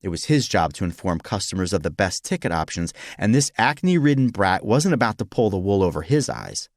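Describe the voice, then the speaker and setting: A man narrating a scripted story in an expressive, dramatic manner, as for an audiobook. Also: deep voice, fairly quick, no room sound, studio quality.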